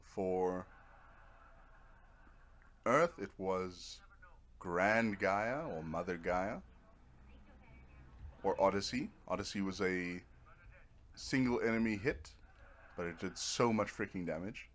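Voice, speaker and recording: Guy with a low voice chatting thru his game playthrough, informal, kinda thinking out loud. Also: takes it slowly, long pauses. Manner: informal, rambling, thinking aloud. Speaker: gamer narrating own playthrough. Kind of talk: casual let's play commentary.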